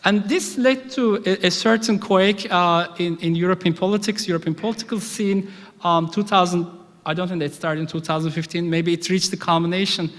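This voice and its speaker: High-voiced man lecturing in a hall. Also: steady tone, brisk, a bit bright. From United Kingdom. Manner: thoughtful, many restarts and "you know" fillers. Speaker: academic speaker. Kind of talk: public talk on migration. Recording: reverberant hall.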